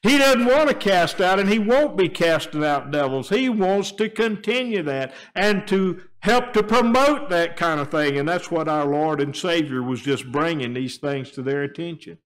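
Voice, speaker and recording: An older man preaching in a church sanctuary, his voice set a little high. He moves between hushed, low stretches and raised, emphatic appeals to his listeners, speaking from the pulpit microphone. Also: expressive, normal speed, hardly any pauses. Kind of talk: sermon. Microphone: pulpit microphone, filmed by a fixed camera.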